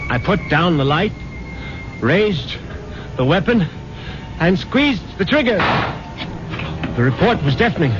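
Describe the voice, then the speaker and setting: Terrified, injured husband in radio horror play. Higher-pitched, halting, dramatic; dull recording. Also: male voice, big pitch swings. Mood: tense, haunted. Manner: halting, repeating words, dramatic. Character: frightened injured husband recounting his ordeal in horror. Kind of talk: 1970s radio drama acting. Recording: dull radio recording, loud music behind.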